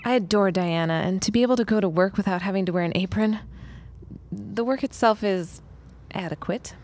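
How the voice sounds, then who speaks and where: A woman's voice: medium pitch, fairly quick, a little mellow, slight background noise, natural voice. A woman playing a frank, plain-spoken, dryly witty working woman in a period audio drama. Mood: wry.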